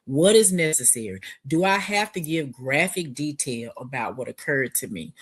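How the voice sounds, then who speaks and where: A woman's voice: low-pitched, animated, normal speed, slightly rough. A woman author talking in an earnest, reflective podcast conversation, asking herself searching questions. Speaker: author and guest. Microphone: remote video call.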